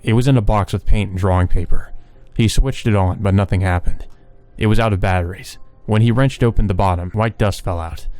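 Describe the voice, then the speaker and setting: A man's voice: fairly low pitch, a little fast, hardly any pauses, a little mellow, dry recording. A man reading a visual novel aloud and commenting casually as he plays. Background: game music.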